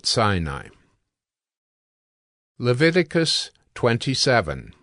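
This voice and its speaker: A man narrating scripture in a slow, deliberate and even way. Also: mid-range voice, no room sound, clean studio sound.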